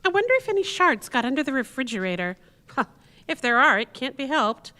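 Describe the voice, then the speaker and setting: A woman's voice: high-pitched, very animated, fairly quick, pushed and bright, exaggerated voice. A woman playing a chirpy, oblivious, chatty wife in exaggerated live radio play style. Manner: chirpy, exaggerated, talks to herself. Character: breezy, oblivious wife, chatty and self-absorbed. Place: senior center hall before live audience. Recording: echoey hall with long reverb.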